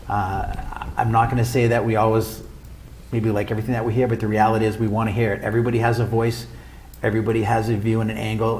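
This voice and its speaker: A man chairs a small-town council meeting with a low, even voice that barely rises or falls. He talks in an earnest, informal way and circles back to repeat himself. Faint room noise sits behind him. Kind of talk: public meeting remarks.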